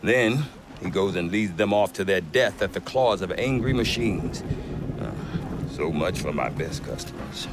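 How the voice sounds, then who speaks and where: An actor playing a gruff middle-aged trader who talks with dry humor. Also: male voice, deep voice, a little slow, heavy background noise. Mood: wry. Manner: wry, dry humor, lively delivery. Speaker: merchant trader. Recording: game cutscene with music behind.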